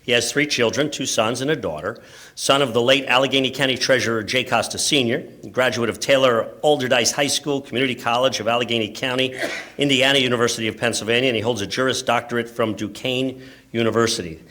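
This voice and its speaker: A man's voice: medium pitch, fast, fairly bright tone. An American man hosting a press club luncheon, giving a speaker introduction with wry asides. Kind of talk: event introduction speech. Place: luncheon event room, speaking to an audience.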